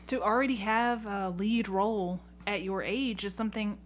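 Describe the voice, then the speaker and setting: A woman in her late thirties speaks at a medium pitch as a podcast host. Her conversational delivery is emphatic, with words repeated for stress. Some noise sits faintly behind her voice. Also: steady tone, moderate pace. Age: late 30s. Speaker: podcast host, community theatre director. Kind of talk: conversational interview. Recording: noticeable background noise.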